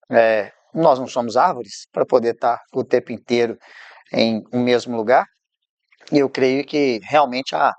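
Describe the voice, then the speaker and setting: A man's voice: mid-range voice, vocal fry, no room sound. A man, from Contagem, hosting a podcast and reflecting in casual chat with frequent tag questions. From Contagem, Minas Gerais, Brazil. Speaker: podcast host.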